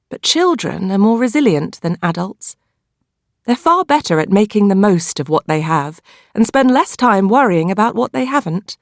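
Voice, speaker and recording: A woman with a British accent narrates a novel in the first person, in a measured, expressive storytelling manner. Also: on the low side, dry recording, studio quality. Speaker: audiobook narrator.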